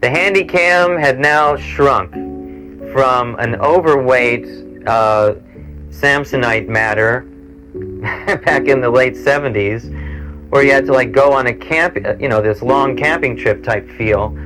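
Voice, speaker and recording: A man in his forties hosting a show, talking informally in a musing, halting, rambling way. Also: medium pitch, music in the background, poor audio. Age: 40s. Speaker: cable TV show host and producer. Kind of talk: informal interview talk and reminiscence. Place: small equipment-packed studio. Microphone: clip-on mic.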